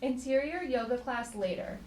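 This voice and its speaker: A woman's voice: high-pitched, normal speed, a little mellow. A woman reading stage directions as scripted narration at a live comedy table read. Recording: echoey, noisy, distant live stage pickup.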